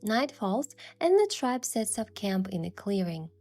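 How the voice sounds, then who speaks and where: Girl doing a sulky earnest romance game heroine, soft narration, normal pitch, bit of music. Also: big pitch swings, a little slow. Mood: narrating, nervous. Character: young heroine, sincere, flustered, quick to sulk in romance. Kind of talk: first-person narration and dialogue in a romance story. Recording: music behind the voice.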